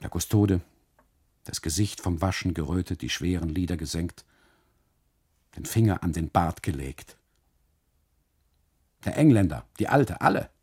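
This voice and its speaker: An actor playing an uneasy husband on holiday in a literary radio monologue, narrating inwardly and haltingly in a gravelly, husky voice. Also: male voice, low voice, animated, a little mellow, heavily acted. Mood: grim, observant. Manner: halting, brooding, deliberate phrasing with repetitions and dramatic pauses. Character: uneasy German husband on holiday, obsessively narrating his story.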